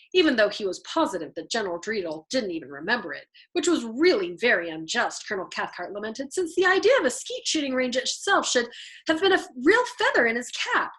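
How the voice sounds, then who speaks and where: A woman reading a story aloud as an expressive narrator. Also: fairly high pitch. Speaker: storyteller, show host. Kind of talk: expressive audiobook-style narration.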